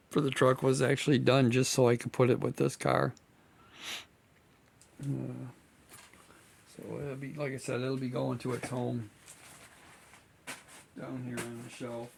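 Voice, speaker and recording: Man, gravelly, slightly hoarse, rambling hobby-channel chat. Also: medium pitch, slight background noise. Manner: relaxed, rambling, many fillers. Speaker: scale model builder and channel host. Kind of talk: casual chat to viewers.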